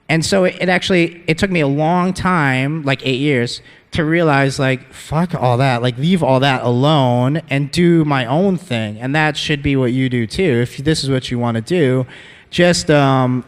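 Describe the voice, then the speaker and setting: A man's voice: medium pitch, clear, resonant tone. A man, an MC giving a workshop, talking loosely to an audience with many fillers. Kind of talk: casual talk to an audience. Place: conference hall. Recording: reverberant hall.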